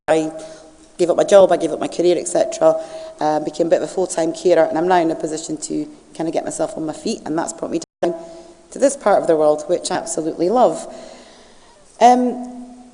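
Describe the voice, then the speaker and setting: A woman's voice: low-pitched, dark tone, big-room sound, rough recording. A woman with a Scottish accent gives an earnest campaign speech as a parliamentary candidate, with many fillers and restarts. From Scotland.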